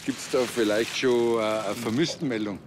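A man's voice: medium pitch, fairly even intonation, moderate pace, noisy background, close to an everyday voice. An actor playing an awkward, grumbling country policeman with a Bavarian accent, rambling colloquially in a TV crime comedy.

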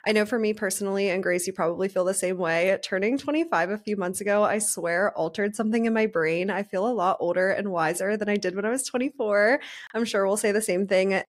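A woman in her mid-twenties co-hosting a podcast, chatting casually in an upbeat, quick way. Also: medium pitch. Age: 20s. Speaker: podcast co-host. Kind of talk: casual chat. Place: Pittsburgh.

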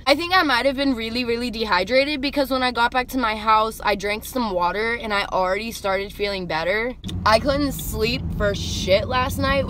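A woman's voice: medium pitch, a bit bright. A young woman with an American accent chatting casually and rambling in a sassy, foul-mouthed way while driving, recorded inside a moving car. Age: young adult. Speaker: vlogger. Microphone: camera on the dashboard, arm's length away. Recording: noisy car interior, road noise.

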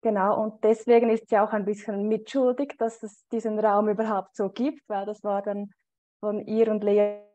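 A woman's voice: mid-range voice, flat delivery, normal speed, warm tone. A woman introducing the guest speaker on a video call, hesitant and using many fillers. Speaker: group member introducing the speaker.

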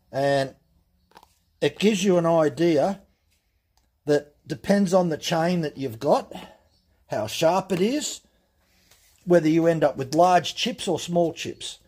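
A man explaining things step by step in a relaxed, plain-spoken way during a workshop demonstration. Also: fairly high pitch, husky. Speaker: chainsaw channel host. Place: workshop bench.

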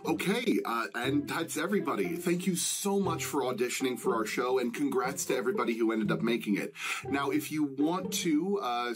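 Man casually announcing over faint music. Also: medium pitch. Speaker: host and producer announcing a cast. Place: small home room with shelves and curtains, indoors.

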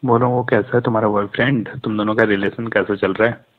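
A man's voice: fairly low pitch, steady tone, fast. A man chatting casually on a recorded phone call, joking and teasing.

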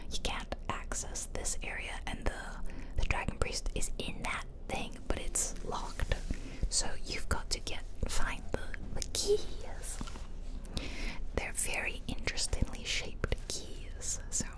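Whispering woman in slow ASMR game commentary. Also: poor audio. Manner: soft, playful, drawn-out whispering. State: tired. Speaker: game streamer.

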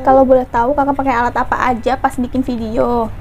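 Young woman vlogger, high-pitched, casual chat into a lapel mic, faint noise behind. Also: flat delivery, fast. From Central Java, Indonesia. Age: 20s. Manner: chatty, often tags lines with "guys". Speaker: mukbang vlogger. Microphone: clip-on lapel mic.

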